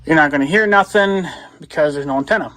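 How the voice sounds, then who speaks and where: Male vlogger, slightly higher-pitched voice, casual hands-on demo, thinking out loud. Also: fast talker, slight background noise. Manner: rambling, thinking aloud. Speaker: video blogger. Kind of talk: casual hands-on gadget demonstration.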